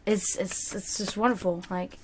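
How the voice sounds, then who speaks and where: A woman's voice: medium pitch, steady tone, moderate pace, a little mellow, a little hiss or hum. A woman whose first language is German, chatting casually on a livestream as a psychic card reader, rambling and answering her viewers. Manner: chatty, rambling, reads chat aloud.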